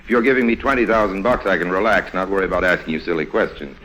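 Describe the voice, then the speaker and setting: A man's voice: deep voice, racing, a bit bright, rough recording. An actor playing a suave, cocky young adventurer crook in a 1950s radio drama, wry and insolent in his delivery. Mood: amused, cocky. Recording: 1950s radio recording, music behind.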